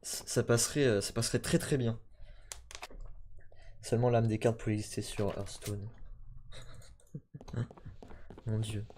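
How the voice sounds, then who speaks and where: A man casually chatting and joking while streaming a game, his talk breaking into sudden shouts. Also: lowish voice, fairly even intonation, unhurried, long pauses. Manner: chatty, jokey, shouts in bursts. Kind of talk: casual gaming chat. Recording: noisy game audio with gunfire.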